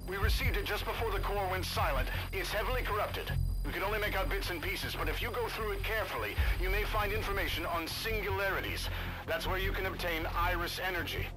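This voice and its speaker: An actor playing a composed, formal research director in a video game cutscene, speaking in a measured, diplomatic way. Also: male voice, sits high, natural voice, rough recording. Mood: earnest. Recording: loud music behind.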